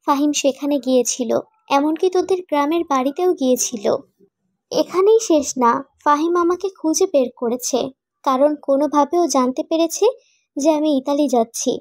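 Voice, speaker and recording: A woman acts a loyal, inquisitive young female friend in a cartoon love story. The voice is high and close, very dry. The delivery is questioning and gently reproachful. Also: normal speed, dry recording, close to an everyday voice. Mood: earnest, reproachful. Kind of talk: animated romantic drama dialogue.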